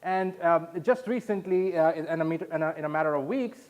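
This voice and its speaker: A man with a fairly high voice speaks as a conference presenter from Saudi Arabia. He explains things haltingly, often stopping to restart, and poses questions to his listeners. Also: a little mellow.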